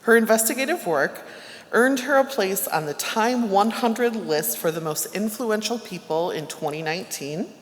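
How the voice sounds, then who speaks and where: A woman host introducing a guest speaker in a measured, formal way, then asking follow-up questions. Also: medium pitch. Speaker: event host. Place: library event hall. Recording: reverberant hall.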